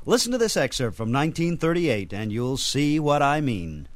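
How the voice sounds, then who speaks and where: A man's voice: medium pitch, very animated, normal speed, no echo. A man hosting a radio programme with scripted narration introducing archive clips. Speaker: host of old-time radio programme.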